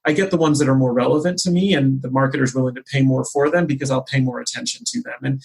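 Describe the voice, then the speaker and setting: A man speaking as a podcast guest in a conversational business discussion, explaining ideas in measured, hesitant phrasing. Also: mid-range voice, steady tone, gravelly, a little mellow, breathy edge. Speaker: podcast guest, marketing executive.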